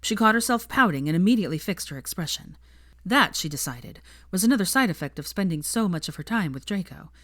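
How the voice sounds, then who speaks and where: Expressive woman reading a story. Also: on the low side, quick pace, no room sound, clean studio sound. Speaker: audiobook-style fan fiction reader. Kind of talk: scripted story narration.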